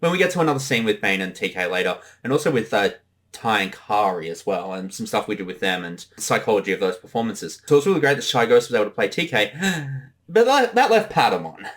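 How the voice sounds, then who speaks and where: A show creator giving casual, chatty commentary in an animated way, often slipping into quoted voices. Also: medium pitch, quick pace.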